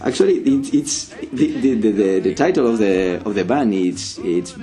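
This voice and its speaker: A man's voice: lowish voice, lively intonation, normal speed, mellow, soft background music. A man with a Spanish accent and Spanish as his first language, chatting casually as a co-host and repeating words as he searches for phrasing. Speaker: radio show co-host. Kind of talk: casual chat.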